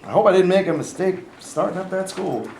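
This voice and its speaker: A man's voice: sits high, animated, brisk, gravelly, a little mellow. A man in a staged reading playing a stern, folksy, domineering former governor and father from the South. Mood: folksy, uneasy. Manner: folksy, scolding. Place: live staged reading in a room. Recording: echoey room with faint noise.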